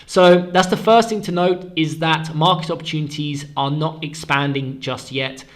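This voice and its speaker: A man with a slightly stuffed-up voice from a cold, hosting a weekly currency market forecast in a brisk, explanatory way and stressing key words. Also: fairly high pitch, steady tone. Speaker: forex analyst and show host.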